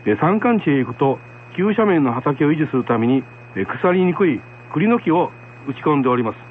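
A man's voice: a little high, expressive, fairly quick. A man presenting a program, giving reflective commentary. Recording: muffled lo-fi with faint noise, hum.

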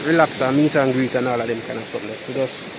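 Young Jamaican vlogger, loose and chatty, noisy outdoor phone audio. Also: male voice, mid-range voice, fairly even intonation, quick pace. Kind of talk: casual vlog chat. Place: outdoors by a river cove. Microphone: phone camera at arm's length.

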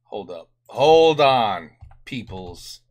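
A middle-aged man hosting a music reaction, talking through a headset at home with excited exclamations, his voice worn and going hoarse. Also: on the low side, extremely slow. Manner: animated, enthusiastic exclamations. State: losing his voice, clearing throat. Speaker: reaction channel host. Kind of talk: casual music reaction commentary. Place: home room, indoors.

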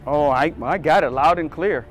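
A man playing a middle-aged police captain, calm, shrewd and skeptical, in a scripted short drama. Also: a little high, noisy background, close to an everyday voice. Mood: wary, skeptical. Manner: measured, skeptical. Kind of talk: scripted short-film acting. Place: outdoors in a parking lot.

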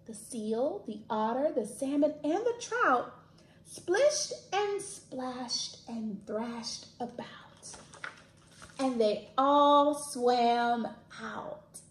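A woman in her thirties hosting a library storytime, reading aloud to children expressively with dramatic, drawn-out emphasis. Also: a little high, animated, a little hiss or hum. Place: small indoor room, plain wall. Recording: echoey room.

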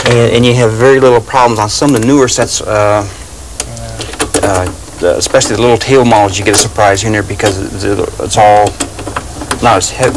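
A middle-aged man casually explaining restoration work as a radio restorer, with halting phrasing. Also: mid-range voice, noisy background. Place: indoor workshop full of old radios.

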